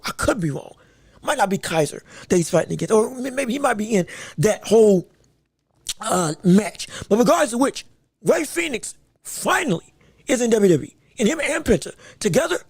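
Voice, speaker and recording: Scratchy-voiced excitable man hosting a podcast. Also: very high voice, no echo. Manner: excitable, rambling, many fillers and restarts. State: scratchy, strained voice. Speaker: podcast host. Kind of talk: solo sports and wrestling podcast chat.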